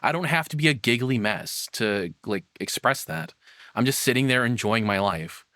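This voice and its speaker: A man's voice: mid-range voice, animated, moderate pace, pushed and bright, dry recording. Male streamer, mid-range voice, casual and rambling off-the-cuff reactions, very dry sound. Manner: rambling, self-questioning, casual swearing. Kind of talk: unscripted live reaction commentary.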